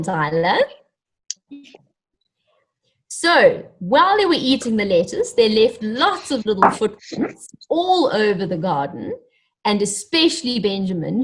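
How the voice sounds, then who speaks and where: Animated woman reading a children's story, slightly husky. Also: mid-range voice, poor audio. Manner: animated, encouraging, addresses young listeners directly. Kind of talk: interactive children's story reading with questions and asides.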